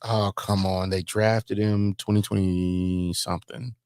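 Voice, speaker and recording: A man's voice: sits low, level tone, a little slow, a little mellow, smooth, no room sound, studio quality. An American man co-hosting a sports podcast, talking casually and emphatically about football with strong opinions, repeating phrases to drive them home.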